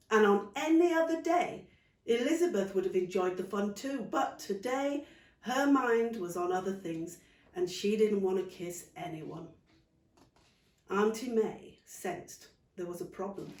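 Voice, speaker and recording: A woman narrating a children's story expressively, in measured phrases with dramatic pauses. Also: mid-range voice. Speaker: author reading her own story. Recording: roomy sound, distant microphone.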